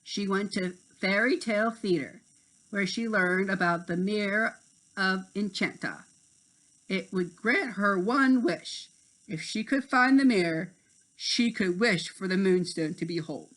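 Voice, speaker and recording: A man's voice: high-pitched, unhurried, fairly bright tone, poor audio. A man reading a children's story aloud as narrator, now and then stumbling over a word and rereading it. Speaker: storybook reader.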